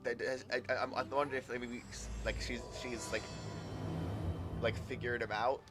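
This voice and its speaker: A man giving casual live gameplay commentary in a halting, rambling way, often repeating words. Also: mid-range voice, little pitch movement. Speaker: game streamer. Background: faint game music.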